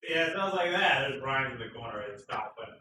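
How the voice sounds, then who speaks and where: A man co-hosting a casual motorcycle live stream, joking and teasing with loud bursts. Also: mid-range voice, expressive, brisk. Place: garage. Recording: echoey garage, far from mic.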